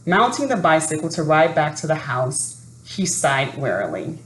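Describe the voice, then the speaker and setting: A woman reading a children's picture book aloud in an expressive, unhurried manner. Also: sits low. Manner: expressive, unhurried, adds asides to listeners. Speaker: storybook reader. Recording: roomy echo, faint background noise.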